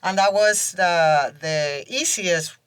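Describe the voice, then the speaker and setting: A woman in her fifties with a Spanish accent talks casually as an interview guest. Her voice sits a little low, and the sound is close and dry. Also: slow, clear, resonant tone, dry recording. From southern Spain. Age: 50s. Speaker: podcast guest, professor.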